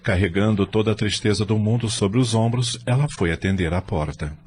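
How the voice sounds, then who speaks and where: A man with a Brazilian accent narrating an audio drama in a solemn, deliberate and dramatic scripted style. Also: lowish voice, darkish tone, slight background noise.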